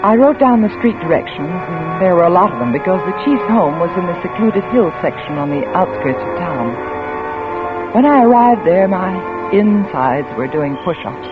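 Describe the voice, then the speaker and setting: A woman's voice: lowish voice, expressive, moderate pace, natural voice. An actress playing an anxious, stubborn young woman investigator in a 1940s radio thriller, with a dramatic delivery that stammers when rattled. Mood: tense narrating. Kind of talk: 1940s radio thriller acting with first-person narration. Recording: tinny old radio recording with music.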